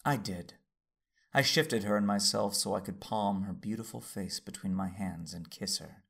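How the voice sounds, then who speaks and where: An actor playing a calm, tender Jedi master, narrating in first person with a measured, formal manner and a gravelly, husky voice. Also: male voice, lowish voice, heavily acted.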